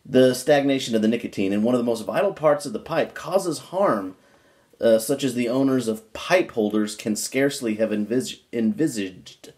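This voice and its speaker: A man reads aloud from an old book in an amateur dramatic style, at a middling pitch. He leans on certain words for emphasis. Now and then he drops into a wry, muttered aside of his own. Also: moderate pace, darkish tone.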